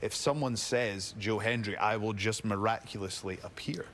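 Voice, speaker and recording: A man's voice: lowish voice, moderate pace, fairly bright tone, slight background noise. A young man with a mild Scottish accent, a wrestler guest chatting on a TV show with playful, boastful hype. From Scotland. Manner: showy, hyping, playful boasting. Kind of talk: casual TV interview chat. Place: TV studio.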